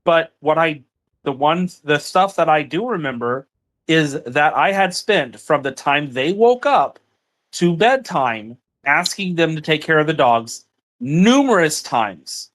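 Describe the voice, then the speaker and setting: A man's voice: fairly high pitch, animated, unhurried, a bit bright. A man in a heated personal argument over the phone, frustrated and accusatory, repeating himself. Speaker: partner on a phone call.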